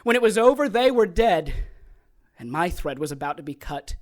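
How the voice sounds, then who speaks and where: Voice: medium pitch, big pitch swings, moderate pace, natural voice. An actor as a theatrical, gleefully sadistic mad sorcerer. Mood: dramatic storytelling. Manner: taunting, theatrical, gleeful cackling. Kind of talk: audio drama acting, storytelling monologue.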